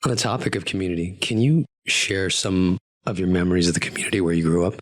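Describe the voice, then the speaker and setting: A man hosting an interview podcast in a studio, asking polished, measured questions. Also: deep voice. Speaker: podcast host. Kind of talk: interview podcast with scripted intro.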